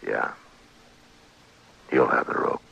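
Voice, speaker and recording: A man's voice: slow pace, lightly acted. An actor playing a grave, patient frontier lawman in a radio western, terse and subdued. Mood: grave, soothing. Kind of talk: old-time radio western acting. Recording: dull, lo-fi old radio, faint noise.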